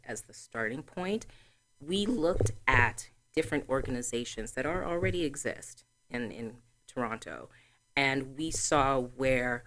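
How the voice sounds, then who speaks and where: A woman in her forties from Trinidad, a guest answering interview questions in a measured, explanatory way. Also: very deep voice, fairly bright tone. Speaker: guest, sorority chapter president.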